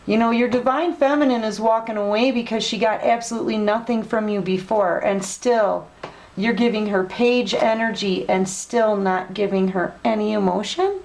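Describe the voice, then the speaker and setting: A woman giving a casual online tarot reading, chatty and direct, gently scolding her listeners. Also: medium pitch, fairly even intonation, moderate pace, slight background noise, poor audio. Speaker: tarot reader.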